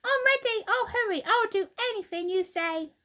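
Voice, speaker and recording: Storybook old wife: easily fooled, panicky, shrill high-pitched wail , acted by a woman reading aloud. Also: animated, moderate pace, bright, forceful tone, exaggerated voice. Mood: desperate, pleading. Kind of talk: children's storybook character voice.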